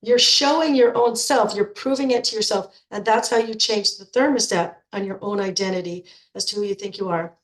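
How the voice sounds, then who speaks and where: A woman's voice: medium pitch, fairly quick, hardly any pauses. Middle-aged female coach, congested from a cold, chatty and repetitive, in an echoey room. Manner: chatty, repeats words when restarting. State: stuffed up with a cold. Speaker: nutrition coach. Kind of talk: informal coaching talk to program members.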